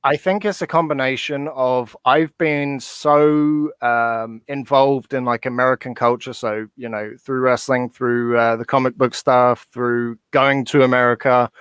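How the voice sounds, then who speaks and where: A man's voice: mid-range voice, steady tone, measured pace, hardly any pauses, a bit bright, clean tone, no room sound. A young Englishman talks as a guest on a casual podcast. He hesitates with ums and restarts while working out his answers. His voice sits in the middle range, and the recording is dry and close with no room sound.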